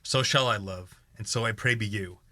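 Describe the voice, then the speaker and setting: A scheming, tormented king from Shakespearean radio drama, acted by a young man. The male voice is forceful and sharp-edged, a touch low, giving verse with dramatic intensity. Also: moderate pace. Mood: guarded. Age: young adult. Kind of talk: Shakespearean radio play acting.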